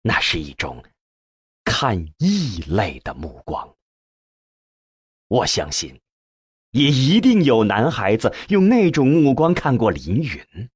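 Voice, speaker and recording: A man's voice: medium pitch, wildly swooping pitch, slow pace, long pauses, slightly rough, no room sound. A man narrating a science fiction audiobook in the first person.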